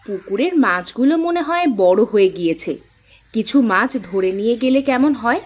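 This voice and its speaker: A woman's voice: a little high, very animated, normal speed. A woman voicing a caring, practical village wife and mother in a cartoon. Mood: practical, concerned. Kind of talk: cartoon voice acting. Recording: music behind the voice.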